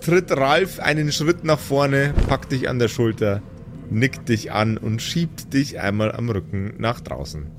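A man's voice: medium pitch, lively intonation, unhurried, music in the background. A man acting as game master, improvising narration for a tabletop role-playing game.

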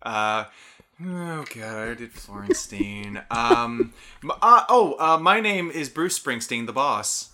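A man's voice: medium pitch, animated, takes it slowly, pushed and bright. A man co-hosting a casual comedy podcast, cracking jokes and laughing as he talks. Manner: wisecracking, laughs mid-sentence. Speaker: podcast co-host.

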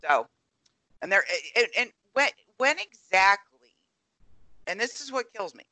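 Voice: medium pitch, very animated, measured pace, sharp tone, no echo, low-quality recording. A podcast co-host in casual political chat, speaking bluntly and emphatically. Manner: blunt, emphatic lists of claims.

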